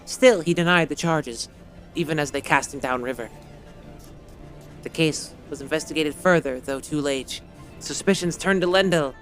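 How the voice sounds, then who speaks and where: A slightly hoarse man streaming a game, reading its story text aloud and then chatting casually, rambling and correcting himself with many fillers. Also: on the high side, normal speed, a bit bright, faint music behind.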